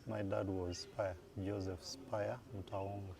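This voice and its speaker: Deep-voiced Ugandan man, unhurried. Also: level tone, creaky, crowd noise. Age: 40s. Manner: thoughtful, unhurried, recalls dates aloud. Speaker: guest. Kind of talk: relaxed interview answers. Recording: noisy room ambience.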